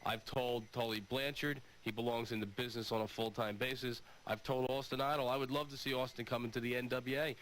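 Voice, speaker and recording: A man's voice: medium pitch, fairly even intonation, brisk, few pauses, a bit bright. A man hosting a sports call-in radio show, discussing and taking calls. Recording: noisy old radio broadcast recording.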